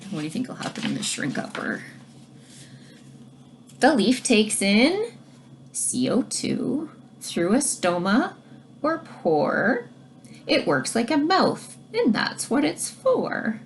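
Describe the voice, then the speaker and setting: A woman reading a children's science book aloud like a teacher, expressively, pausing to explain things directly to young listeners. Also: medium pitch, creaky, slight background noise. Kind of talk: read-aloud storytelling with explanatory asides to children.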